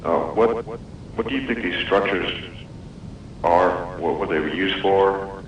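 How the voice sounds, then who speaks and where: A man's voice: low voice, fairly even intonation, takes it slowly, distant mic. A man calling a radio show by phone to put questions to the guest. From United States. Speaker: caller. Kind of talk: asking questions on a radio call-in. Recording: muffled, echoey, noisy phone call to radio.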